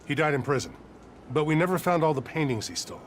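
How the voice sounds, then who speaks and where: An actor playing a plainspoken, weary older American police detective close to retirement in a video game. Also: male voice, on the high side, quick pace, slight background noise, natural voice. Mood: businesslike. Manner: plainspoken, matter-of-fact.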